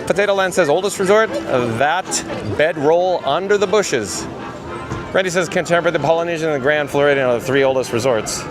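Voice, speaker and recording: A hoarse man with a raspy voice hosting a live theme park vlog outdoors, chatting and reading viewer comments aloud. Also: fairly high pitch, fairly quick, over music. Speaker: livestream host.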